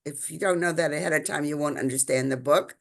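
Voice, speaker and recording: Low gravelly woman host. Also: quick pace. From Illinois, United States. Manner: probing, conversational. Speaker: podcast host. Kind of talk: interview.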